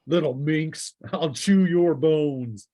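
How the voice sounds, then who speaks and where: A man's voice: on the high side, slow, warm tone. A man speaking casually as game master, narrating a tabletop role-playing game with vivid sound-effect words.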